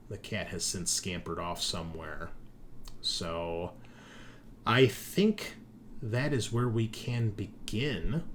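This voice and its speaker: A man working as game master, recapping and narrating a tabletop game session in a halting, conversational way, thinking aloud. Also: lowish voice, a bit bright, a little hiss or hum.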